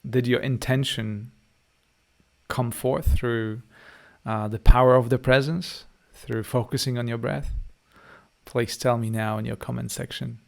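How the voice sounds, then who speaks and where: A man's voice: medium pitch, steady tone, measured pace, vocal fry. A man with a Polish accent, a meditation teacher leading a guided meditation and a live-stream chat, speaking to listeners in short, direct phrases.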